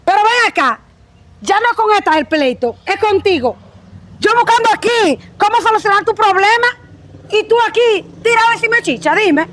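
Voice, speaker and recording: A woman's voice: very high voice, expressive, normal speed. A woman doing a bossy scolding mother. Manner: scolding, sharp-tongued. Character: bossy protective middle-aged mother.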